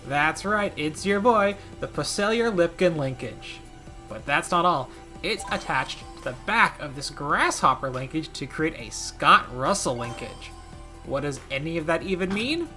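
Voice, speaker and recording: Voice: medium pitch, expressive, normal speed, pushed and bright, soft background music. A video game commentator narrating a scripted gaming video with wry, playful asides.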